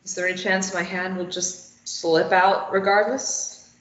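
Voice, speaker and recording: A woman acts out a defiant, sarcastic captive in a stage drama. She is snappy and argumentative, with desperation underneath. Her mid-pitched voice sits in a roomy, slightly dull recording. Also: fairly even intonation, a little slow, close to an everyday voice. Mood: defensive. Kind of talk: script table read.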